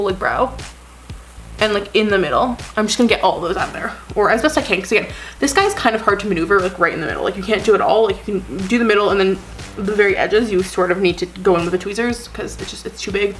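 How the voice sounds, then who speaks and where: A woman's voice: mid-range voice, fast talker, poor audio. A woman vlogging at home in a basement, rambling and self-deprecating with lots of "like", a bit sniffly, with faint music behind. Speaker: beauty vlogger. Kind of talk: casual self-deprecating chat to camera. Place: basement room at home, indoors. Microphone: camera on a desk with ring light.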